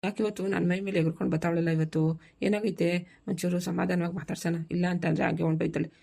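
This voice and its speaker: A woman voicing a quick-tempered village farmer husband who bickers and coaxes in a family cartoon, with a rural southern Karnataka accent. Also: on the low side, level tone, creaky, mellow, slightly rough, heavily acted. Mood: muttering, calculating. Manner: bickering, colloquial, nagging questions.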